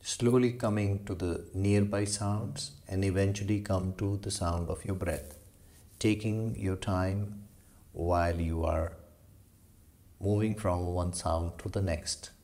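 A man leading a guided meditation as a yoga instructor, giving slow, measured instructions with long pauses. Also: sits low, fairly even intonation, warm tone.

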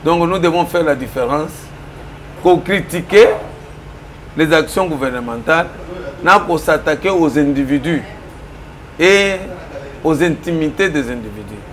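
A middle-aged Congolese-accented man lays out political arguments in an interview, switching between Lingala and French. His voice sits a bit high and his points land with emphasis. He speaks in a small office room over a faint hum of ambience. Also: expressive, unhurried, pauses often, poor audio. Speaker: political commentator. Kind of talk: studio interview.

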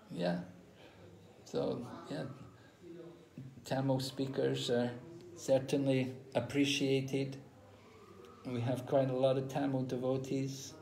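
An older man giving an unhurried online scripture class over a video call from a room at home, explaining with pauses and restarts. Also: medium pitch, gravelly, mellow. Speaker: spiritual teacher. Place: room at home with ceiling fan. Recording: echoey room.

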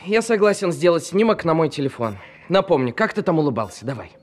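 A man's voice: on the high side, lively intonation, fast talker. A man acting in an audio drama as a proud, touchy costumed performer who talks in a defensive, sardonic way. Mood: challenging.

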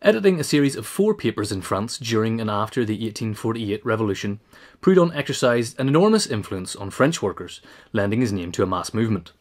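Guy with a lowish voice reading out a written essay. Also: very animated, a little fast. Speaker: reader. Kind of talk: scripted narration, reading a biographical essay.

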